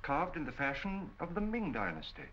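Gentleman sleuth, courteous, lively intonation, articulate, noisy 1940 film sound. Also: on the high side, moderate pace, dull audio. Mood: absorbed. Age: 50s. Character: courteous, shrewd middle-aged gentleman detective. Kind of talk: 1940s film acting.

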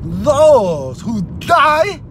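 Middle-aged man, theatrical spoken recitation, wildly swinging pitch, slow deliberate pace, erratic muttering to shouting, noisy car interior. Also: wildly swooping pitch. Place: inside a moving car.

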